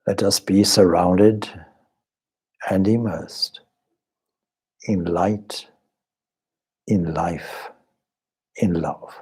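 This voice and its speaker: A man leading a guided meditation as a teacher, speaking in measured, deliberate short phrases with pauses and a soft, husky voice. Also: low-pitched, steady tone. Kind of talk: guided meditation, slow spoken instruction.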